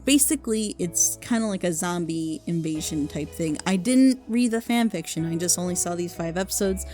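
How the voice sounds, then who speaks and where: A woman's voice: mid-range voice, darkish tone. A woman giving a casual, unscripted review as a video host, rambling informally and with enthusiasm. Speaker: video host recommending fan audio dramas.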